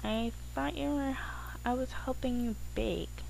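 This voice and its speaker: A woman's voice: on the high side, unhurried, vocal fry, darkish tone, a little hiss or hum, pitched above the performer's own voice, rough recording. A woman voicing a brash, casual young female pegasus pony with offhand, slangy delivery in a story reading. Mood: doubtful.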